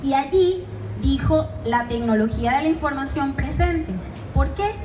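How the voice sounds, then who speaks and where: A Venezuelan woman with a high voice speaks to a room of students. She talks in a measured way and checks in with them as she goes. Her voice echoes around a lecture hall over a steady noise. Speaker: guest speaker at a university. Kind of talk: presentation to students.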